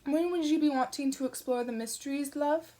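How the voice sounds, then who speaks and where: A brassy middle-aged fortune-teller woman, put on by a reader, chatty and over-familiar, calling the caller love. Her voice rides higher and louder than the reader's plain narration. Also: brisk, natural voice. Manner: familiar, calls everyone love.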